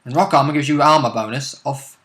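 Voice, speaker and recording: Male co-host, bright and sharp-toned, informal commentary on tabletop gaming. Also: medium pitch, fast talker. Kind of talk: casual chat, commentary on a tabletop game.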